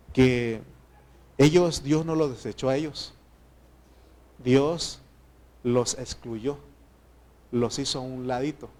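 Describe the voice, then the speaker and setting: A man from Mexico with a Mexican accent preaching a sermon to his church, earnest and conversational, often pausing on fillers and restarting phrases. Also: medium pitch, darkish tone. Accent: Mexican Spanish. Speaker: pastor.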